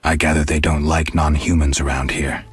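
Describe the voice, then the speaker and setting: An actor playing a gruff, stoic monster hunter for hire in a video game, speaking in a terse, deadpan way. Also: male voice, a bass voice, normal speed, faint music behind, exaggerated voice. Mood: calm, probing. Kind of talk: video game dialogue acting.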